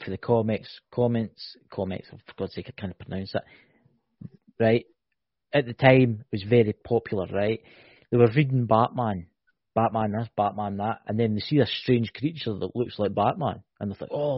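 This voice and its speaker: A man co-hosting a podcast, husky in tone, tossing out one wild theory after another and checking in with "right?" His mid-range voice sounds dull and lo-fi, captured dry with no room around it. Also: on the low side, moderate pace, dry recording. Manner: animated, speculative, keeps asking "right?". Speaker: podcast co-host. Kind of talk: casual chat.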